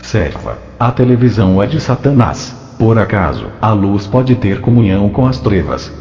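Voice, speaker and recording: Guy doing god in a religious story, brazilian accent, low solemn warning voice, drenched in reverb. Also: big pitch swings, normal speed, darkish tone. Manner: solemn, admonishing. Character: God, the solemn male Lord warning his servant. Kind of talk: scripted religious testimony dramatization. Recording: echoey long reverb, muffled, faint music.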